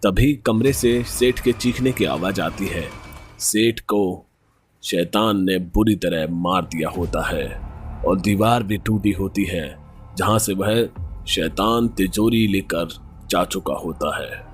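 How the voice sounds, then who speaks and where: A man's voice: low-pitched, takes it slowly, soft background music. A man narrating a scripted horror story as a storyteller.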